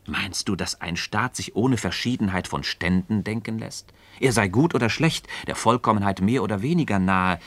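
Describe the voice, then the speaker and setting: An actor playing an earnest philosopher in a literary radio dialogue, speaking formally and didactically, building each point with emphasis. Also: male voice, on the low side, normal speed, hardly any pauses, a bit bright, dry recording. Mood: emphatic, reasoning. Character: earnest, insistent male philosopher leading a Socratic dialogue. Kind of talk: German literary radio play dialogue, formal stage diction.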